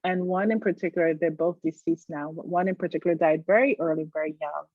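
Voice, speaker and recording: A woman's voice: on the low side, quick pace, no room sound. A middle-aged woman from Barbados, a psychologist, reflecting thoughtfully as a guest in an interview. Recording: dry tinny phone-like line.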